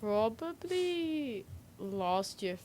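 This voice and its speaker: A woman's voice: a little high, very animated, extremely slow, darkish tone. A woman in casual chat as a show panelist, telling anecdotes in a hesitant way. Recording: clear background noise.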